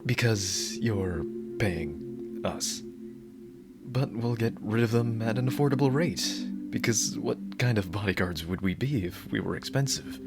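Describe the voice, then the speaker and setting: A man's voice: on the low side, a little slow, creaky. A man playing a devoted, dorky yandere bodyguard in an ASMR roleplay, earnest and rambling, awkwardly correcting his own slips. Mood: flustered, devoted. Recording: loud music behind the voice. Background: music.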